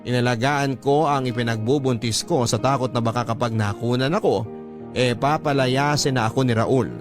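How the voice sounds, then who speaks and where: A man's voice: medium pitch, moderate pace. A man with a Filipino accent reading a scripted first-person letter as a radio drama narrator. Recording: music under the narration.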